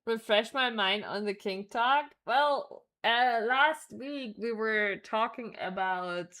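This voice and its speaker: A woman chatting casually as she streams a game, informal and reactive, thinking out loud. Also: mid-range voice. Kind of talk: casual live gameplay chat. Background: game music.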